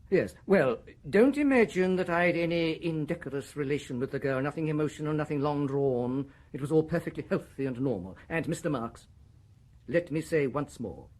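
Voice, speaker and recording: An actor playing a stern, pompous middle-aged male director in a radio drama, husky and formal. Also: a little high, expressive, normal speed. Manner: reproving, then drifting into a hushed memory. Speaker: Director, a superior official. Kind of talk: 1990s radio drama acting.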